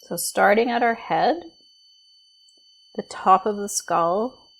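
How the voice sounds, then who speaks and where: A woman leading a guided body scan meditation as a teacher, speaking in a gentle, soothing, unhurried way with pauses. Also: medium pitch, gravelly, husky, low-quality recording.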